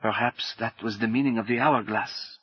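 A man doing a soft-spoken French woman. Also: medium pitch, steady tone, normal speed, breathy edge, no echo, dull audio, close to an everyday voice. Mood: grave, urgent. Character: quiet French woman contact, formal and urgent. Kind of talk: audiobook character voice.

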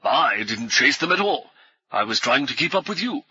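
Man doing a puffing old professor, fussy, husky bright tone, flat dry audio. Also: medium pitch, moderate pace, pitched above the performer's own voice, exaggerated voice. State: out of breath. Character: older bearded professor, fussy and winded. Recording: dull, dry lo-fi audiobook recording.

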